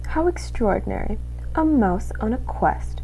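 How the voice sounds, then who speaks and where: A sly male rat villain from a storybook, acted out by a woman reader. The voice is mellow and swoops widely as it oozes false courtesy and drawn-out insinuation. There is a faint noise behind it. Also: a little high, animated, gravelly, rough recording. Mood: oily, mock-friendly.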